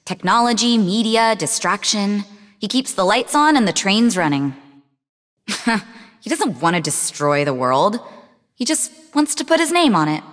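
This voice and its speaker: A woman playing a sharp, sardonic young female spy in a video game, talking conversationally with a defiant edge. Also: medium pitch, sing-song, measured pace. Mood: wry. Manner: sarcastic, defiant. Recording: long reverb on the voice.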